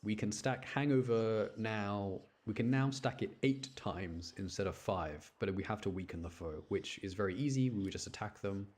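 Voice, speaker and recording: A man's voice: lowish voice, normal speed, hardly any pauses, darkish tone. A man giving casual, chatty live game commentary, thinking aloud and explaining choices to his chat in an apologetic way. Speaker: game streamer talking to chat.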